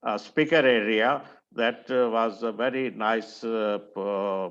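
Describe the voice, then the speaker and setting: An older man from Pakistan, a retired museum director, introducing himself slowly on a video call with many pauses and fillers. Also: on the low side, fairly bright tone, smooth. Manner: slow and halting, many fillers and restarts. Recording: muffled video call audio.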